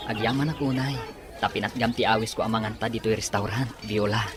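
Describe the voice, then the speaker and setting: A man with an Ilocano accent playing a courteous, gentle young village suitor in an audio drama, speaking politely and softly. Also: on the low side, fairly even intonation, a little fast, over music, close to an everyday voice. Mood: fond, shy. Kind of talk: Ilocano radio-style drama acting.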